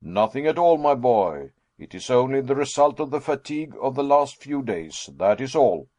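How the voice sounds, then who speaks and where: A man voicing a jovial, excitable elderly professor and explorer who speaks in a hearty, booming voice. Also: normal speed, dry recording, close to an everyday voice.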